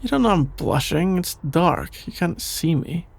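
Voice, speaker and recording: Shy, sleepy young male best friend for ASMR audio drama, played by a man: unhurried, gravelly vocal fry, hesitant and easily flustered delivery. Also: on the high side, lively intonation, heavily acted. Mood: flustered, embarrassed. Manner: hesitant, halting, trailing off with sighs and nervous chuckles. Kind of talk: intimate ASMR audio roleplay, improvised-sounding dialogue.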